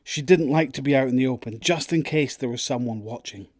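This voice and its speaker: A man's voice: mid-range voice, brisk, darkish tone, no room sound, clean studio sound. A man narrating a scripted audio drama as its storyteller.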